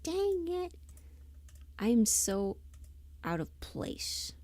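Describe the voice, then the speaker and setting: A woman's voice: fairly high pitch, sing-song, drawn-out words, a little mellow, no echo. A woman chatting casually on a livestream, informal and rambling, muttering asides to herself as she thinks aloud. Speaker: livestream host and amateur voice performer.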